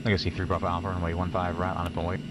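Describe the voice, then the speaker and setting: A man working as an air traffic controller, giving clipped radio calls with filler pauses. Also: deep voice, completely flat delivery. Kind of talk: radio phraseology. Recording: noisy radio channel.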